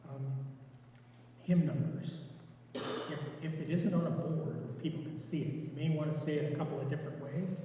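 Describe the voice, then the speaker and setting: A soft-spoken man giving an informal training talk to lay readers, relaxed, with frequent restarts. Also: on the high side, normal speed, a little hiss or hum. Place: echoey church hall. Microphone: room mic from a distance. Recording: echoey, distant, dull room recording.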